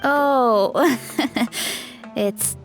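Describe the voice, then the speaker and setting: A woman voicing a friendly, naive young female warrior in a video game, gentle and a little hesitant. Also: fairly high pitch, extremely slow, faint music behind. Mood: bright curiosity.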